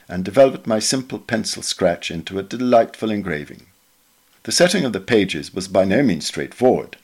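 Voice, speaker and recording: A man reading a written memoir aloud as a podcast narrator, in a measured, articulate way with expressive emphasis. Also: lowish voice. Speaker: reader of a magazine article for a podcast.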